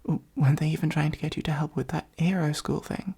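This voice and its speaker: A woman playing a possessive supervillain who is secretly fond of a hero, in an intimate ASMR roleplay, speaking softly and breathily with an earnest, gently wry delivery. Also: low-pitched, fast talker, full character voice. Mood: hurt, pleading. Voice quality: soft, husky, breathy, often near whisper.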